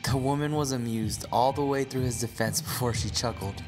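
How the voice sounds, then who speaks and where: A man's voice: mid-range voice, moderate pace, darkish tone, soft background music. A man narrating a scripted story with wry humor as the narrator.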